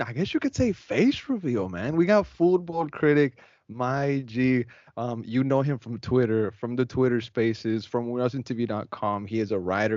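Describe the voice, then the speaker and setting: A man's voice: medium pitch, darkish tone, no room sound. A man hosting a podcast with an upbeat, loose, slangy delivery. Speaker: podcast host. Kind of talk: casual chat. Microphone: video call. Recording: dull lo-fi sound.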